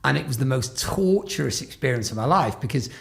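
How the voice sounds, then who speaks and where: A man's voice: mid-range voice, expressive, a little fast, creaky. A man in his forties, raised in Scotland and England, hosting a podcast interview, telling rambling anecdotes with many restarts. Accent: faint Glaswegian, mostly lost, Stoke-on-Trent upbringing. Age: 40s. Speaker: podcast host. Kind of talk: casual conversational interview.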